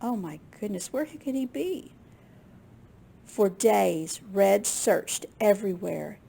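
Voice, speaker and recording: Slow, husky woman reading a children's story. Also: medium pitch. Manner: expressive, with gasps and questions to young listeners. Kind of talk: picture-book read-aloud to young children.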